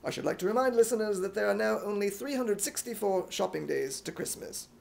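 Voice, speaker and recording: A man playing a staid, formal 1950s radio announcer with a British accent, speaking deadpan. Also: sits high, fairly quick, vocal fry. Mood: dry, formal. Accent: imitated British.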